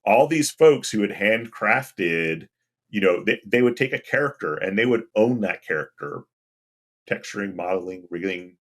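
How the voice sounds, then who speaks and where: A man with a deep voice talking casually and anecdotally as an interview guest. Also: expressive, normal speed, a bit bright. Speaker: podcast guest, game industry UX professional.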